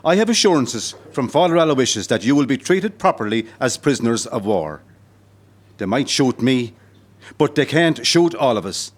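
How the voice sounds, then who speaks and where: An actor with an Irish accent playing a solemn, resolute rebel commandant in a historical audio play, speaking in measured, formal oratory. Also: male voice, medium pitch, natural voice. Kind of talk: historical audio drama acting.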